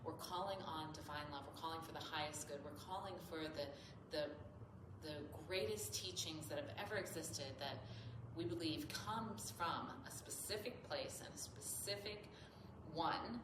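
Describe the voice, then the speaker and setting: A woman with a low, slightly nasal voice teaches a yoga class, earnest and searching for words. She is recorded in an echoey studio with steady noise behind her. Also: normal speed. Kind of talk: spoken yoga instruction.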